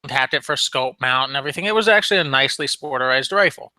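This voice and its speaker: Bright-voiced, rambling young man. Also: medium pitch, animated, fast, no echo. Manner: rambling, with frequent ums and restarts. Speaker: podcast co-host. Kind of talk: casual chat about firearms.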